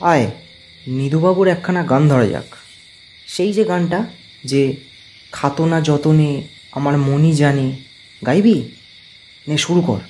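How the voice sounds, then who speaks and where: A man playing a village friend who puts on bravado over hidden fear in an audio story, husky and conspiratorial. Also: a little high, slow pace, pauses often, a little mellow, slight background noise. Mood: eager, coaxing. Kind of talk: dramatised audio story dialogue.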